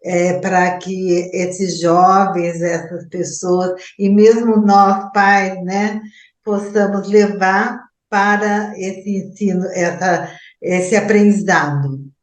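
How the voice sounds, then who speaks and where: A woman's voice: medium pitch, fairly even intonation, slow. A Brazilian woman with a Brazilian Portuguese accent, interviewing casually and feeling for her words, recorded with an echoey room sound.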